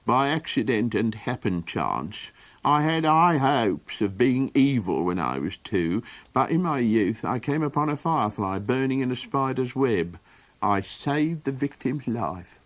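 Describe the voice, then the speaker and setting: A man voicing an odd, forgetful little old man with a high, wondering, sing-song delivery. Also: very animated, a little slow. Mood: whimsical.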